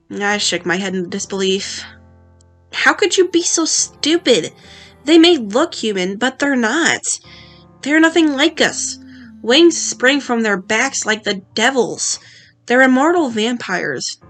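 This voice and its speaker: A woman's voice: on the high side, fairly bright tone. A woman playing a frank, wary young female rebel who tells her own story as dramatic first-person narration. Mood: indignant, disbelieving. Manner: confessional, storybook-style telling. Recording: music behind the voice. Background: music.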